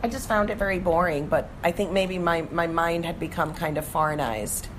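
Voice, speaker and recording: Lower-voiced middle-aged woman from Jamaica. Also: moderate pace, a little hiss or hum. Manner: relaxed storytelling, frequent restarts. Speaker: guest. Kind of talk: casual interview chat.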